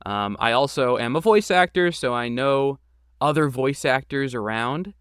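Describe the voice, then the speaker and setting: A man's voice: medium pitch, lively intonation, measured pace, fairly bright tone, clear, resonant tone, dry recording, studio quality. A man answering interview questions casually as a podcast guest, with an informal, enthusiastic and self-deprecating manner. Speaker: podcast guest, game creator and voice actor.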